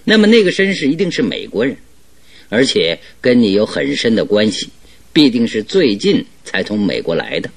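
Give the detective a lively, wide-ranging delivery with a husky edge. He is shrewd, genial and self-assured, laying out deductions expansively as in a Mandarin radio play. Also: lowish voice, normal speed, full character voice. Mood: confident, explanatory. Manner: chuckling, expansive, leisurely explaining his deductions. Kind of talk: Mandarin radio drama acting.

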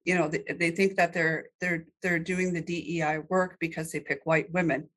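A woman's voice: lowish voice, flat delivery, normal speed, a bit bright. A woman in the audience of a virtual talk, speaking candidly and hesitantly. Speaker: audience member asking a question. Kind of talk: casual remarks in a Q&A.

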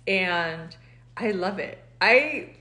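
A woman's voice: medium pitch, expressive, very slow, bright, forceful tone. A woman from Mexico co-hosting a podcast, chatting casually and animatedly, laughing often and switching between English and Spanish. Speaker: podcast co-host. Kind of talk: casual bilingual chat.

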